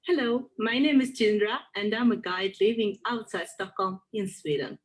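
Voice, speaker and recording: A woman tour guide speaking in a friendly, smiling way in a promotional video, with a breathy voice. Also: medium pitch, normal speed.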